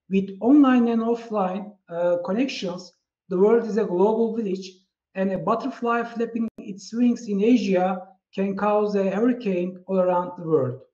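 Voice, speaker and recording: A Turkish-accented man gives a measured, prepared keynote with a fairly high, mellow voice. The sound is dull and muffled, as if recorded with a low-grade mic in an echoey room. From Turkey. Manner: measured, reads from slides. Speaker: keynote speaker.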